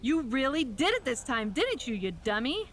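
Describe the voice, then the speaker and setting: Game villain: scheming, snide female wrestler, fairly high voice, light background ambience. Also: very animated, moderate pace, slight background noise. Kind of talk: scripted game cutscene dialogue.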